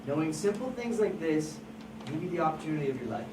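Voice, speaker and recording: Scheming crime photographer read by an amateur actor, intense, persuasive speeches, very lively pitch, noisy room. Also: fast talker, close to an everyday voice. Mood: insistent, preachy. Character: scheming, persuasive crime photographer who stages fake scenes. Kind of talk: amateur table read of a screenplay. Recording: distant, echoey, noisy room recording.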